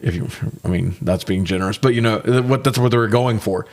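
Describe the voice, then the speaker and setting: A man's voice: fairly low pitch, quick pace. A man co-hosting a casual movie review chat, griping with plenty of swearing. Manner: profane, animated griping. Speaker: podcast co-host reviewing movies.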